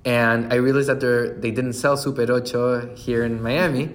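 A man's voice: medium pitch, steady tone, normal speed. A man, a brand founder telling his story in a casual interview, laughing often and restarting his sentences. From Chile.

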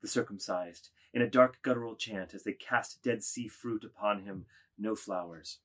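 A man reading scripted literary stage directions as narrator, in a measured delivery. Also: lowish voice, a bit bright.

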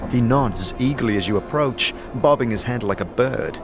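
A man's voice: medium pitch, animated, moderate pace. Male fantasy narrator over music. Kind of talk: scripted second-person fantasy story narration.

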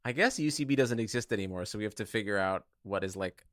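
A man's voice: fairly low pitch, animated, fast, a bit bright, no echo, clean studio sound. An American man co-hosting a podcast, chatting in an informal, teasing, sweary style. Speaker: podcast co-host. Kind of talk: casual comedic chat.

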